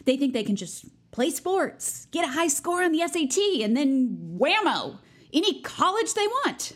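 High-pitched, pushy teenage schoolgirl. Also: very animated, measured pace. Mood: smug, chatty. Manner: chirpy, pushy, self-important. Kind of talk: naturalistic audio drama acting.

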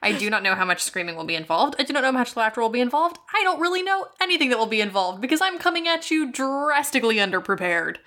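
A young woman co-hosting a podcast in casual, playful chat, quick to laugh and poke fun at herself. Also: on the high side, sing-song, bright, forceful tone. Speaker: podcast co-host.

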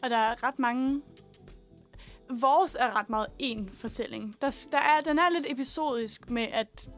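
A woman's voice: high voice, faint music behind. A young adult woman co-hosting casual student radio, talking playfully and informally with a teasing streak in a studio. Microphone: studio microphone.

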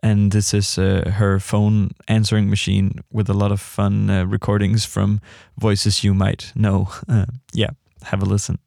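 Low-pitched man, gravelly, hesitant radio host. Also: completely flat delivery, dark tone, dry recording. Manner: hesitant, halting delivery with trailing thoughts. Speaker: radio music show host. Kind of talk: casual unscripted track introductions.

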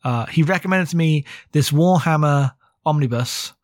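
A man's voice: on the high side, normal speed, dry recording, studio quality. A man in his thirties co-hosting a podcast, chatting casually about books in a rambling, wry way. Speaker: podcast co-host. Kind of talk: casual book discussion.

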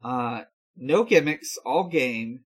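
A man hosting a podcast in casual talk, with a halting, hesitant delivery. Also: medium pitch, expressive, slightly rough. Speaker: podcast host.

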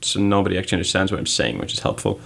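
A man's voice: deep voice, big pitch swings, rapid-fire, gravelly, a bit bright, slight background noise. A young man from Pakistan, a native Urdu speaker, answering interview questions in a thoughtful way, often searching for words. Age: teens. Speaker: student guest.

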